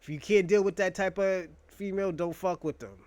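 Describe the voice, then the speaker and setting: A man's voice: sits high, brisk, darkish tone. A young man hosting a casual monologue, rambling and joking in a crude, profane way. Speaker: podcast host.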